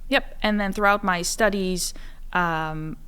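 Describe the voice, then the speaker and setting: Lady professor, slightly low voice, chatting through her research. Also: steady tone, slow pace, bright, forceful tone, clean tone. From Netherlands. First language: Dutch. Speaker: psychology professor, podcast guest. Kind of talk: conversational explaining of research.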